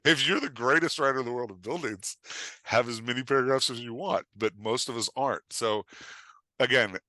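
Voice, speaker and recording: A man's voice: medium pitch, a little fast, no room sound. A man talking casually and bluntly about his craft as a podcast guest and coach, emphatic, often voicing imagined reactions. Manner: animated, emphatic, acts out imagined readers' reactions; informal, blunt, peppered with "like" and "right?". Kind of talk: conversational craft talk.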